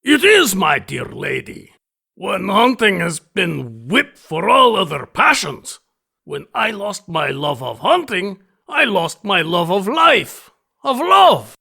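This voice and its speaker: An actor playing an alien aristocratic hunting fanatic in an audio drama, gravelly and raspy, with florid, theatrical, deliberate delivery. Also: male voice, sits high, big pitch swings, pushed and bright, heavily acted. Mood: brooding, impassioned. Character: alien aristocratic hunting fanatic, obsessive, grandiose, menacing male host. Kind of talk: audio drama villain acting.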